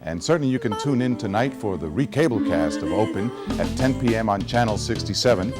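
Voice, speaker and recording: A man's voice: on the low side, animated, brisk, loud music behind the voice. A man hosting a live community TV show, interviewing a guest and signing off with friendly filler-laden talk. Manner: friendly, many fillers and restarts. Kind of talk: live studio interview and sign-off. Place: TV studio.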